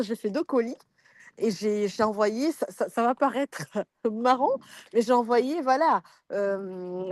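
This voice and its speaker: A woman's voice: a little high, darkish tone, no room sound. A woman sharing a hesitant, friendly customer testimonial on a video call. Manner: hesitant, many fillers and restarts, friendly.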